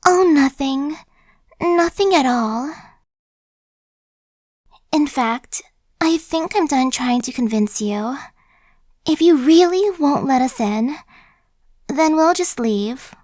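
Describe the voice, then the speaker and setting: Woman voicing a high-pitched, chatty zombie girl. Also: expressive, takes it slowly, pauses often, darkish tone, no room sound, clean studio sound. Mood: coaxing. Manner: casual slangy wheedling, buddy-like. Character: chatty, cajoling young female zombie, playful and sly. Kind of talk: ASMR roleplay acting.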